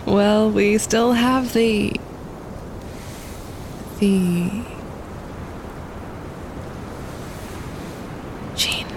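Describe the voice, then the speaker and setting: A woman playing a practical wildlife photographer on a winter camping trip in a naturalistic audio drama, speaking in a hushed, matter-of-fact, low-key way. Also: extremely slow. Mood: uneasy, wondering. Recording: clear outdoor ambience noise behind.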